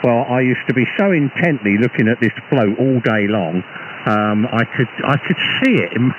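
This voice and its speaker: Halting British man on muffled, noisy shortwave radio. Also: medium pitch, expressive. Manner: hesitant, halting delivery with repeated false starts. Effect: radio transmission. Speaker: amateur radio operator. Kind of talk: casual radio chat. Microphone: radio transceiver, received over shortwave.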